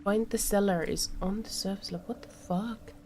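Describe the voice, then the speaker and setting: A woman's voice: medium pitch, steady tone, normal speed, heavy background noise. A woman doing casual live game commentary, musing aloud and often muttering to herself. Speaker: game streamer.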